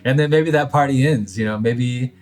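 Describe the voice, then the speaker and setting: A man's voice: medium pitch, moderate pace, darkish tone. A man as a podcast guest, speaking reflectively and hesitantly, with many fillers as he thinks aloud. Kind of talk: reflective conversation.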